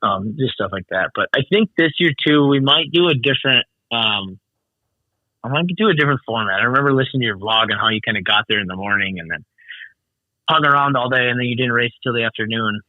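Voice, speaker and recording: A man's voice: mid-range voice, animated, quick pace, dry recording, highs cut off. A man who organizes races, chatting casually on a call with many restarts and fillers. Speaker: snowmobile race organizer. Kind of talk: casual phone conversation.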